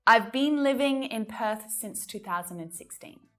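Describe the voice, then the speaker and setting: A woman teaching English, speaking clearly and slowing down to model example phrases. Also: on the high side, lively intonation, fairly bright tone, soft background music. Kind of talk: English lesson to camera.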